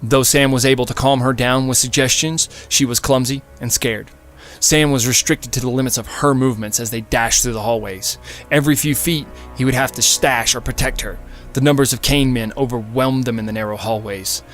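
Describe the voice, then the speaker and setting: A man's voice: medium pitch, steady tone, brisk, fairly bright tone. A man narrates fiction in a mid-range voice. His delivery is scripted and dramatic, in the manner of an audiobook, with music playing behind him.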